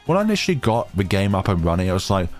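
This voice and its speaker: A young man with a very low voice, still thickened by a recent chest infection, talks over his gameplay on a home computer mic. His delivery swings in pitch as he rambles, jokes at his own expense, and keeps up a friendly running chatter. Game music plays faintly behind him. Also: sing-song, racing. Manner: chatty, rambling asides with self-deprecating jokes. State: voice still recovering from chest infection, deeper than usual. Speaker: gaming channel host. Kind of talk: casual gameplay commentary. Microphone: home computer microphone.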